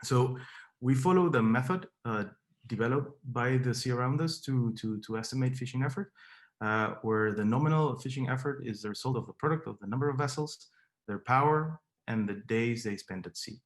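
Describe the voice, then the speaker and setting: A man's voice: medium pitch, normal speed. A young man from Peru, a Spanish speaker with a Peruvian accent, giving an academic seminar talk with many fillers and repeated words. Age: young adult. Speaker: PhD candidate giving a seminar.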